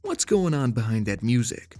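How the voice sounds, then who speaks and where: A man's voice: mid-range voice, very animated, normal speed, dark tone, faint music behind. A man narrating a scripted voice-over and explaining his points. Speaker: video narrator.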